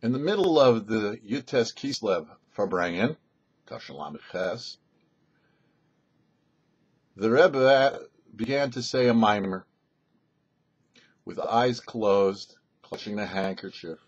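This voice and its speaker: A man telling a story as a show host, in a measured, deliberate narration with dramatic pauses. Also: on the low side, animated, slightly rough. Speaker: rabbi and radio storyteller, host. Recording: dull, muffled lo-fi recording.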